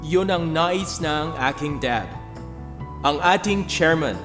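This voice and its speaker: A man playing an earnest young CEO in a radio drama, giving a rousing, deliberate, oratorical speech to his company. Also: a little high, animated. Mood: earnest, proud. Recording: long hall reverb, music underneath.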